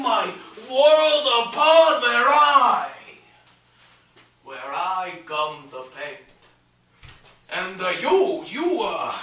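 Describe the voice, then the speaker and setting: A brash, theatrical middle-aged male comedian-painter holds forth in a hammy monologue. His voice is high and bright, lurching from stammered muttering to bellowing. It is picked up from a distance in an echoey room. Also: full character voice. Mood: rambling, comic. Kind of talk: one-man dramatic monologue on stage.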